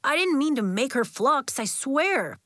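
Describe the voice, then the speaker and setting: A woman's voice: on the high side, sing-song, measured pace, fairly bright tone, dry recording, clean studio sound. A woman voicing an earnest, exasperated young schoolboy in a children's audiobook.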